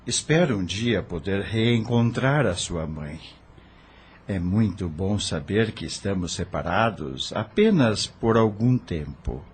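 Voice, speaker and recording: An actor playing a soft-spoken, worried middle-aged doctor talking tenderly with his daughter in an audio drama. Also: fairly low pitch, expressive, takes it slowly, a little hiss or hum. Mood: earnest. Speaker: father in radio drama.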